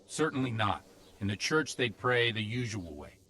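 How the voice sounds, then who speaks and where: An actor playing a cautious, protective older village priest in a video game, speaking plainly and earnestly. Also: male voice, fairly low pitch, very animated, normal speed, sharp tone. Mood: earnest, resolute. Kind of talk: video game cutscene acting. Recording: music behind the voice.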